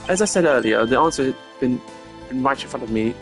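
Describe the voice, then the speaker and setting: A man voicing a composed, analytical prosecutor in a video game, with a measured, formal and dryly superior delivery. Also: mid-range voice, lively intonation, soft background music, close to an everyday voice. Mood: assertive.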